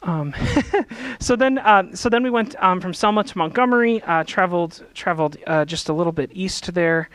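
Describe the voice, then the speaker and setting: A man's voice: high voice, normal speed, fairly bright tone. A man, a resident minister, giving a casual slide presentation to his congregation, with many fillers and restarts. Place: church meeting room. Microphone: handheld microphone.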